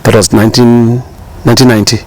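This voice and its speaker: A man's voice: medium pitch, little pitch movement, quick pace. A middle-aged man with a South African accent, a chef talking casually as an interview guest, rambling with many fillers and restarts.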